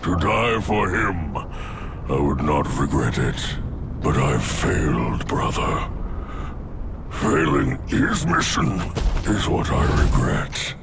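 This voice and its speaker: An actor playing a gruff, loyal old warrior who served a king, speaking solemnly and boasting in a game cutscene. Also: very deep voice, very animated, slow, heavy background noise, exaggerated voice. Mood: grieving solemn.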